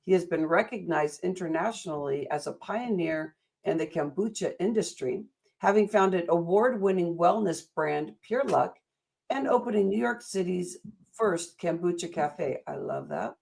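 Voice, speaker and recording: A woman's voice: on the low side, animated, moderate pace, creaky, a little mellow, poor audio. An American woman hosting a motivational interview, enthusiastic and a little rambling, speaking in affirming phrases. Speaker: interview host.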